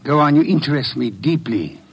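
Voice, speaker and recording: A man playing an imperious, lazy, middle-aged detective in an old-time radio drama, speaking formally and deliberately. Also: mid-range voice, lively intonation, breathy edge. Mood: coolly probing. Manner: pompous, formal, deliberate diction.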